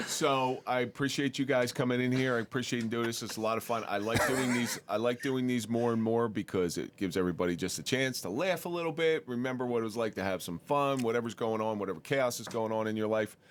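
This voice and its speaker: A man's voice: mid-range voice, fast talker, hardly any pauses, slight background noise. A man with a New Jersey accent hosting a podcast, casual and profane, laughing as he wraps up the show. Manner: swearing, laughing, joking outro. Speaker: podcast host.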